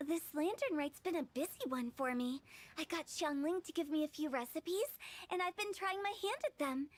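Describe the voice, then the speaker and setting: A woman voicing a playful, mischievous teenage girl in a video game, teasing and wheedling in a singsong way. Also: very high voice. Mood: chatty. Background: background music.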